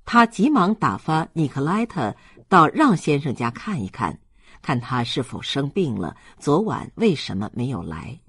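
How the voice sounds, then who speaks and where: Male narrator for a literary audiobook, husky tone, close dry sound, expressive scripted reading. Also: fairly high pitch, a little slow, dry recording.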